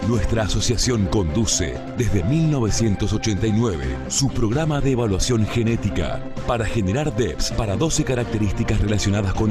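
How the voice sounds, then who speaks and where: A man reading a scripted promotional voice-over in a measured, steady way, listing facts and figures. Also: lowish voice. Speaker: promotional narrator. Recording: voice-over with music bed. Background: music.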